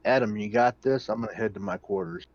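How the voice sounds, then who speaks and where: A man's voice: lowish voice, fast, no room sound, muffled recording. A man chatting casually as a player in a tabletop roleplaying game.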